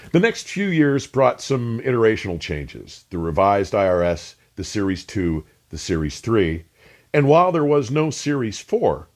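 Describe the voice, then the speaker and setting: A man reading scripted, documentary-like narration as a host, measured and emphatic, with precisely pronounced technical terms. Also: lowish voice, darkish tone. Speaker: host and narrator of an audio review channel.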